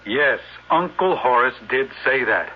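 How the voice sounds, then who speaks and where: An actor playing a self-assured wastrel nephew under suspicion in a 1970s radio drama. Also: male voice, mid-range voice, expressive, measured pace, husky. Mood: defensive. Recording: muffled, noisy old radio broadcast.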